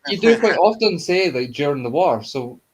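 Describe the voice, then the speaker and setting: A man's voice: on the high side, very animated, normal speed, a little hiss or hum, rough recording. A man in his thirties throws crude jokes into a loose live-stream chat among friends. He talks casually and laughs often at the others. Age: 30s. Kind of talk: casual banter.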